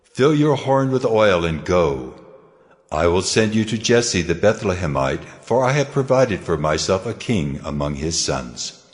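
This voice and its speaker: Male actor as God, low booming register, solemn, commanding, cavernous reverb, music beneath, Bible drama. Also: sing-song, unhurried. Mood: solemn, admonishing. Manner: solemn, declarative. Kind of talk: dramatized Bible narration acting.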